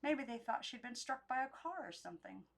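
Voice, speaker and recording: A young woman reads a storybook aloud in an unedited, expressive narration with occasional stumbles. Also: a little high, brisk, vocal fry. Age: young adult. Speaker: amateur book reader.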